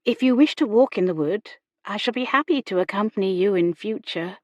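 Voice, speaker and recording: A woman's voice: medium pitch, lively intonation, brisk, no echo, lo-fi sound, close to an everyday voice. Woman playing a genteel, caring young lady. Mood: caring, relieved. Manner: genteel, formal, reassuring. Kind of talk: audiobook character dialogue.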